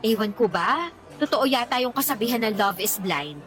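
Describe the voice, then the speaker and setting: A jealous young live-in mother with a fairly high voice. She is whiny, blunt and slangy, venting radio-drama style with faint music underneath. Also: big pitch swings, normal speed, a bit bright. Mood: jealous, annoyed. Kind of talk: Tagalog radio drama dialogue. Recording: music behind the voice.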